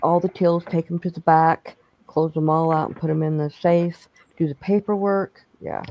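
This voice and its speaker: A man's voice: fairly high pitch, steady tone, moderate pace, no echo, lo-fi sound. A man chatting casually on a bingo stream, rambling through stories with restarts. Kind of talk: casual chat.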